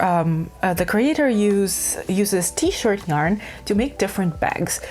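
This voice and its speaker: A woman's voice: medium pitch, a little mellow. Woman from ukraine rambling about crafts at home, bouncy up and down voice, lots of um and like. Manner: chatty, rambling, with many fillers and restarts. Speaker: craft vlogger. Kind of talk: casual chat to camera.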